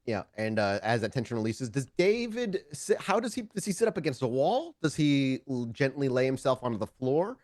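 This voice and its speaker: A man's voice: mid-range voice, big pitch swings, fairly quick, few pauses, a little mellow, no echo, studio quality. A man narrating a tabletop roleplaying game as storyteller, improvising descriptive scenes and rephrasing as he goes.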